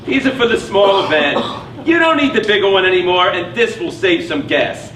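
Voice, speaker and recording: High-voiced, curt man played by an actor. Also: expressive, moderate pace, fairly bright tone. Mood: brisk. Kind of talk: live stage play acting. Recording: echoey, noisy, distant stage recording.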